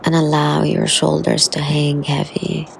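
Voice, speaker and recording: A woman leading a guided meditation in a soothing, unhurried way, with soft, breathy phrase endings and long pauses. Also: sits low, completely flat delivery, slight background noise, low-quality recording.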